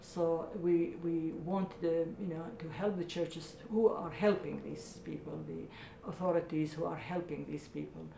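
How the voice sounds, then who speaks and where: A middle-aged woman from Iraq speaks in an earnest, halting way, her talk full of small hesitations. Her voice is low, mellow and gravelly. The room is echoey, and a faint rumble sits underneath. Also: steady tone. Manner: hesitant, many uh fillers, earnest. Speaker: charity founder. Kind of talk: interview answers.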